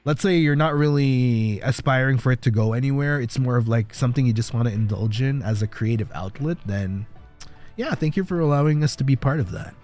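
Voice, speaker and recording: A man streaming and chatting casually with his viewers, rambling, reassuring and quick to laugh. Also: medium pitch, a little mellow, soft background music.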